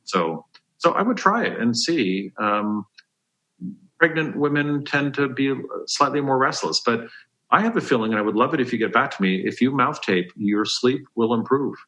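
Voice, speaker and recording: A man's voice: medium pitch, lively intonation, moderate pace, a bit bright, poor audio. A man giving health advice as a dentist on a podcast, explaining step by step in an emphatic, conversational way.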